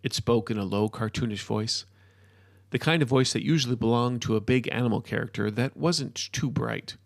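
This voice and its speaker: A man's voice: mid-range voice, normal speed, no room sound, studio quality. A man reading scripted fiction as a storyteller, narrating the action.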